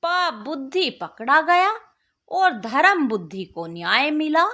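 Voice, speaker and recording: High, bright, slow-paced woman storyteller. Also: big pitch swings. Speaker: storyteller and channel host. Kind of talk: scripted moral bedtime story narration.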